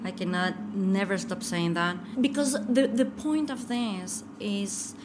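A woman's voice: medium pitch, a little slow. A middle-aged woman from Ecuador, a tour guide talking earnestly in an interview and often repeating and restarting her words. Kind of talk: casual interview. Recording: noticeable background noise.

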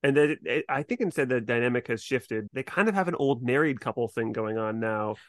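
A man's voice: medium pitch, expressive, fairly quick, no echo, clean studio sound. A man co-hosting a podcast in a casual, conversational discussion. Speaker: podcast co-host. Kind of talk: casual discussion, recapping TV episodes.

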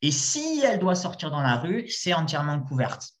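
A man's voice: fairly high pitch, very animated, moderate pace. A man in conversation explaining his view at length, with frequent hesitations and restarts. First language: not Arabic. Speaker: discussion guest. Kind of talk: casual spoken debate.

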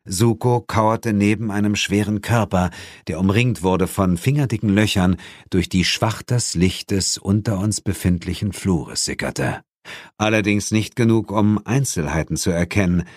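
A man's voice: fairly low pitch, a little slow, no echo. A man narrating a horror-comedy audiobook in a dramatic scripted style, recorded in a studio. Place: dry studio.

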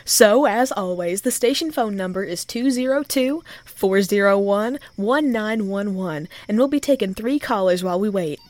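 A woman's voice: medium pitch, expressive, normal speed, few pauses, darkish tone, no echo, lightly acted. A woman playing a jittery, sardonic new nighttime radio host in an audio drama, talking informally and wryly. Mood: welcoming. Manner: wry, sweary, informal.

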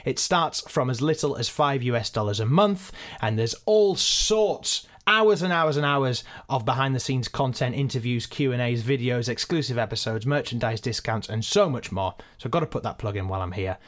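A man's voice: mid-range voice, lively intonation, normal speed, a bit bright. A man, a podcast co-creator, answering listener questions in a casual, chatty, self-deprecating way. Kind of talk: casual behind-the-scenes Q and A.

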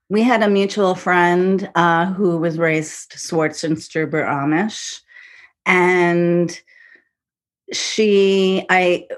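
A woman co-author answering interview questions in a measured way. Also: low-pitched. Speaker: guest, co-author.

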